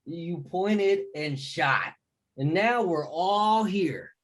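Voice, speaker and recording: A man's voice: high voice, extremely slow. A man playing a boorish, tipsy, joking buddy in a short film table read over video call. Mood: boorish, teasing.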